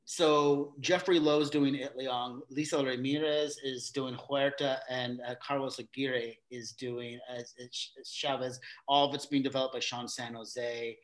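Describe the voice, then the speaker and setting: A man's voice: medium pitch, steady tone, unhurried, few pauses, poor audio. Rambling middle-aged man explaining his theater work. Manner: rambling, restarts often, laughs mid-sentence. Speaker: guest, theater company facilitator. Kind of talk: casual interview talk.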